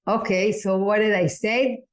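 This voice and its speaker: A woman whose mother tongue is Hebrew speaks in English during a relaxed podcast conversation. She sounds thoughtful and sometimes gropes for the right word. She restarts her phrases as she feels her way through an idea. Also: medium pitch, a little slow. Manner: reflective, searching for words, restarts.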